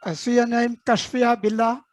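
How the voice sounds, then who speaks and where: A woman's voice: on the high side, level tone, moderate pace, a little mellow, no echo. A woman hosting an online meeting, formally calling on attendees by name and introducing the speaker. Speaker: meeting host. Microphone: video call.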